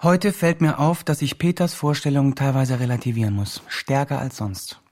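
An actor plays a well-meaning but self-important male helper in a radio play. The voice is husky and of middling pitch. He narrates like someone filing a report, careful and reflective. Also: steady tone, moderate pace, a little mellow, natural voice. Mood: doubtful.

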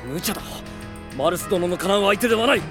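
An actor playing a stern, proud duke and commander in an anime-style radio drama, with commanding aristocratic speech. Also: male voice, high voice, lively intonation, fast, music in the background. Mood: alarmed.

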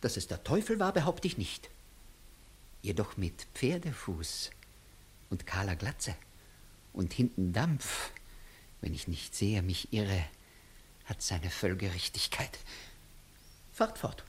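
A man's voice: lowish voice, very animated, slow pace, pauses often, slightly rough, exaggerated voice. A man playing a sly, pedantic court clerk in a classical radio comedy, speaking with fussy deference. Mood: submissive, insinuating. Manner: deferential, fussy, precise with swooping emphasis. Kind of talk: 1960s German classical comedy radio play acting.

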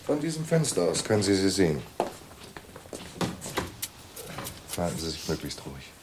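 Ein Schauspieler als gefasster Anstaltsarzt in einem Hörspiel, der bedächtig und erklärend mit rauer Stimme spricht. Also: male voice, deep voice, sing-song, background noise. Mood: discreet, polite. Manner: measured, explanatory. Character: composed male asylum doctor explaining a patient's madness. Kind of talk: German radio drama acting.

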